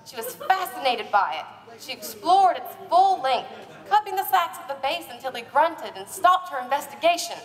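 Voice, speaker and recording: High-voiced woman narrating in an echoey hall. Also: very animated, moderate pace, a bit bright. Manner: theatrical, playful. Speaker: narrator and presenter. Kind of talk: live dramatic reading of romance parody. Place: live stage at a bar party. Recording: echoey live hall, distant mic.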